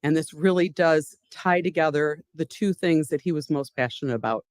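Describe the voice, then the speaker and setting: An older woman speaking on her late husband's behalf at an awards gala, heartfelt and halting, with personal stories. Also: deep voice, a little mellow, dry recording, studio quality. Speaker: award recipient's widow accepting on his behalf. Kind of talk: emotional acceptance speech.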